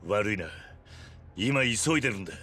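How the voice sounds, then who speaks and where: Loyal, determined gangster lieutenant for game drama; low-voiced, grave and terse, with dynamic swings. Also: male voice, lively intonation, normal speed, a bit bright. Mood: resolute. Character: stoic, loyal young yakuza lieutenant, gruff and determined. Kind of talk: dramatic video game cutscene acting.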